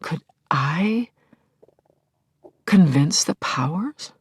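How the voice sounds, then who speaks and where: A woman's voice: sits low, lively intonation, very slow, breathy edge, no room sound, studio quality, exaggerated voice. An actress playing a grieving middle-aged widow in an audio play, telling her story in a searching, intimate way that can drop toward a whisper. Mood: wondering, hushed. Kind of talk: dramatic first-person monologue, audio play.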